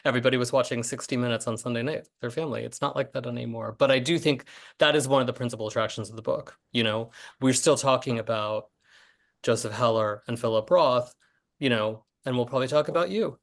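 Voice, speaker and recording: A man's voice: medium pitch, quick pace, vocal fry. A man, a writer guest in a casual discussion over a video call, speaking earnestly and fluently with plenty of "you know".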